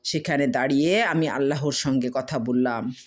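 A woman's voice: a bass voice, normal speed. A woman voicing a sly, boastful male traveller in a storybook tale, eager and coaxing.

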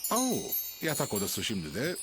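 Calm woman narrating a cartoon. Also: rumbling low, normal speed. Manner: calm, even storyteller. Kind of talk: scripted storybook narration. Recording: cartoon with loud music behind.

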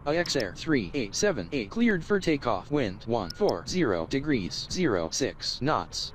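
A man's voice: mid-range voice, very animated, unhurried. A man's synthetic-sounding voice giving scripted air traffic control instructions in stitched-together phrases. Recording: faint background noise.

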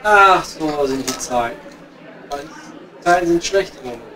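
A man's voice: a little high, expressive, measured pace, crowd noise, poor audio. A man playing a blunt, grumbling coal miner with a Ruhr accent in improvised pub comedy. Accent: Ruhr area German. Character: grumbling, simple working-class coal miner at his pub. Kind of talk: improvised comedy audio play, pub chat.

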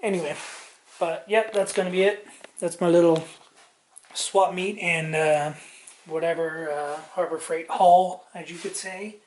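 Slow, mumbling man tinkering in a yard. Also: on the high side, fairly even intonation, slight background noise. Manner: slow, rambling, thinking aloud with frequent ums and soft muttering. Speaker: vlogger. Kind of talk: casual hands-on narration while testing a tractor's wiring. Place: outdoor yard and garage workshop.

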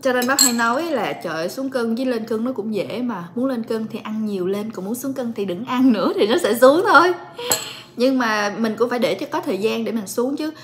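Calm chatty woman, slightly high voice. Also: brisk, slight background noise. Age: late 20s. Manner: calm, chatty, addresses viewers directly. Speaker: vlogger and story reader. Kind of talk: casual chat to viewers. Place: living room at home.

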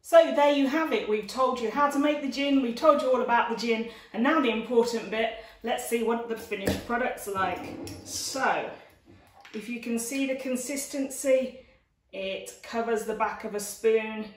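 A woman's voice: a little high, normal speed. A woman in her forties presenting an informal cookery demonstration to camera in a chatty way, recorded in a dining room. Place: domestic dining room, indoors. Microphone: camera a few steps away. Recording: roomy, distant camera microphone.

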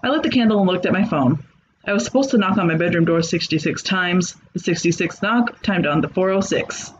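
A man's voice: high voice, brisk, muffled recording, slight background noise. A man reading a comedic horror story aloud with a dry, sarcastic delivery. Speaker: narrator. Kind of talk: comedic creepypasta narration.

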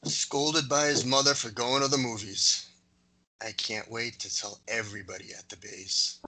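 A man's voice: medium pitch, steady tone, moderate pace, bright, forceful tone, poor audio. A man playing a smug schoolmate bully who mocks others in a screenplay table read. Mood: smug, mocking.

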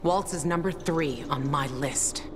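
A woman's voice: deep voice, unhurried, background noise. An actress playing a tough, cynical young female sniper in a video game, blunt and sardonic. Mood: deadpan menace. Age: young adult. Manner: blunt, sardonic, foul-mouthed. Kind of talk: video game cutscene acting. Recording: game soundtrack music behind.

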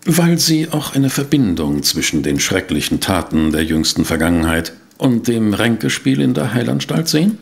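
A dogged, cheeky reporter in an audio detective drama. His slightly low voice is gravelly and swings in pitch. He is polite and wry, always probing, over faint noise. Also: very animated, moderate pace, few pauses, no room sound, full character voice. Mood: inquisitive, polite. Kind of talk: German audio drama acting and narration.

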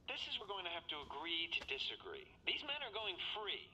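Slick game villain on phone, very sharp thin sound, bit of hiss, condescending. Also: male voice, high-pitched, very animated, heavily acted, rough recording. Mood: calm, coercive. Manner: smooth, measured, condescending. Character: calculating, smug male corporate fixer. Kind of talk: video game cutscene acting.

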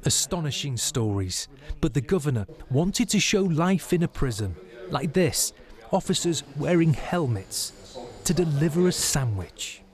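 A man's voice: fairly high pitch, big pitch swings, measured pace. A man, a news reporter narrating a report and answering an anchor's questions. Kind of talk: scripted news narration and studio debrief.

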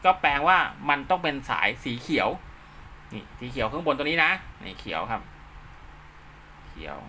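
A man's voice: medium pitch, sing-song, moderate pace, sharp tone, slight background noise. A man giving an informal hands-on tutorial step by step, often checking that the listener follows. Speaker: instructor, channel host.

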